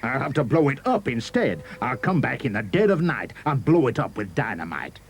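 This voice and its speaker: A man's voice: medium pitch, very animated, normal speed, slight background noise, pitched above the performer's own voice. A man voicing a greedy, boastful male wolf in a read-aloud storybook, gloating and drawing words out. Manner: gloating, drawn out.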